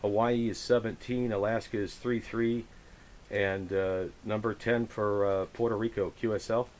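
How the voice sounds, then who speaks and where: A low-pitched man chats casually over the radio as an operator running the frequency. He is chummy and halting, often feeling for his next word. A light static hiss sits around his voice. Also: little pitch movement, a little mellow. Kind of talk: casual radio contact chat. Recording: hissy, noisy radio recording.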